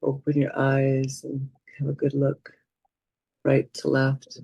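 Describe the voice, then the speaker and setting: Mellow, low-key woman guiding meditation. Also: monotone, vocal fry, slightly rough, no echo, low-quality recording. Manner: gentle, soothing, unhurried with pauses. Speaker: meditation teacher. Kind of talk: guided meditation instruction.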